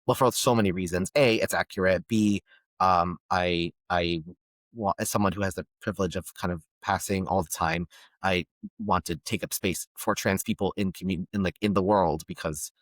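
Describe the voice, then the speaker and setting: A man from Palestine, a guest on a podcast, speaking thoughtfully and pausing often to search for words. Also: low-pitched, fairly even intonation, no echo. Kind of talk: reflective conversation.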